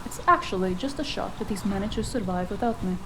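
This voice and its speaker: A woman playing a bossy, sharp-witted cyberpunk fixer in a noir audio drama, sardonic and scolding. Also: medium pitch, fast, background noise, close to an everyday voice. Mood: exasperated, smug. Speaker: voice actor.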